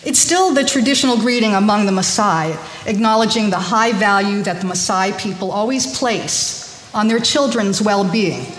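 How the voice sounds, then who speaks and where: A woman's voice: mid-range voice, lively intonation, moderate pace. A woman born in Korea, speaking informally to a congregation, chatty and hesitant with many ums and restarts. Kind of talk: informal sermon talk to a congregation. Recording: echoey hall with faint noise.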